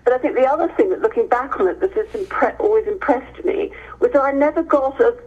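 A woman's voice: fairly high pitch, lively intonation, brisk, a little mellow, highs cut off. An English woman, middle-aged or older, answering as a guest author in conversation, telling stories with emphatic repetition. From Hereford, England, near the Welsh border.

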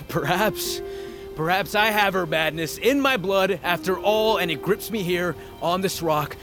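A man playing a wounded, brooding young prince in an audio drama, bitter yet yearning, with halting, intense, formal speech. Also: high voice, expressive, music in the background. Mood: wistful, uncertain. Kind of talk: dramatic audio fiction acting.

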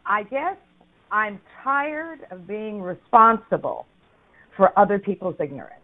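A woman's voice: medium pitch, sing-song, a little slow. Outspoken older woman hosting a radio show. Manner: outspoken and emphatic, with a laughing aside. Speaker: radio host. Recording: phone-like radio line.

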